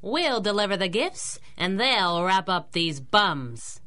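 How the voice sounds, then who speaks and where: Bright, lively masked heroine. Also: female voice, mid-range voice, takes it slowly, full character voice. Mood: breezy. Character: confident playful young female masked crimefighter. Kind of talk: 1930s radio drama acting.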